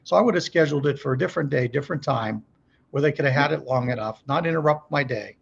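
A man's voice: mid-range voice, fast talker, vocal fry, husky, muffled recording. A man giving conversational coaching as a podcast guest, speaking emphatically and checking in with short rhetorical questions. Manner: emphatic, asks rhetorical check questions, acts out sample calls. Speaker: podcast guest, car dealership service trainer.

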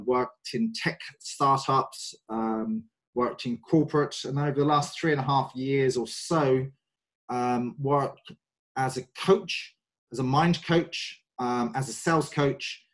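Man, 40s, London English accent, coach, halting, lots of ums, podcast guest. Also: mid-range voice. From North London, England. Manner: hesitant, many fillers, thoughtful. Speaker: guest, sales and mind coach. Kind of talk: podcast interview.

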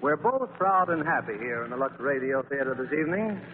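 A man's voice: mid-range voice, expressive, a little fast, narrow, tinny audio, a little hiss or hum. A man hosting and narrating a 1940s radio theater broadcast in a formal, scripted style. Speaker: radio producer and host. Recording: thin 1940s radio, music behind.

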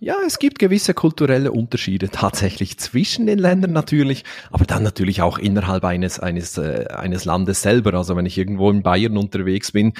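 A man's voice: on the low side, animated, brisk, few pauses, a little mellow, dry recording. Swiss man, dry close sound. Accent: Swiss Standard German. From Switzerland, Valais. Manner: thoughtful, frequent "äh" fillers, occasional laugh. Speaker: seminar trainer and speaker. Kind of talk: podcast interview.

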